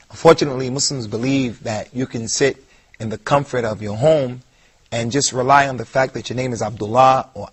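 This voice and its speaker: A man's voice: mid-range voice, husky. A man giving a televised religious lecture, speaking emphatically and repeating key points for stress. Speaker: Islamic lecturer.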